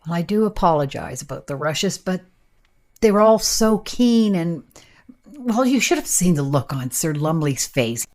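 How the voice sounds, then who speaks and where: An actress playing an earnest, keen time traveller with an emphatic, insistent manner in a radio play. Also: female voice, fairly low pitch, very animated, measured pace, a little mellow. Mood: earnest, apologetic.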